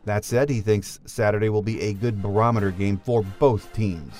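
A man's voice: lowish voice, steady tone, moderate pace, a little mellow, faint music behind. A man hosting a sports podcast, reading a scripted introduction and putting questions to a guest. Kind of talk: scripted show introduction and interview.

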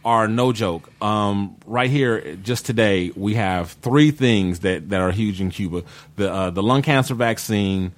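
Low voiced guy on a talk show going through the news, kinda halting, repeats himself. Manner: halting, repeats words while explaining. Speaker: show co-host. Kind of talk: casual panel talk, reading and explaining news.

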